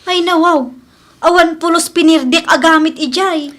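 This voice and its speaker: Radio drama: woman plays wronged young female worker, very high register, complaining little-sister delivery. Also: moderate pace, fairly bright tone. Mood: aggrieved. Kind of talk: Ilocano radio comedy drama acting.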